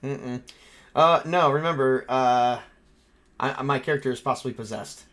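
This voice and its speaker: A man's voice: mid-range voice, moderate pace, a bit bright. A man acting as game master, narrating a tabletop game casually and dramatizing events in informal words.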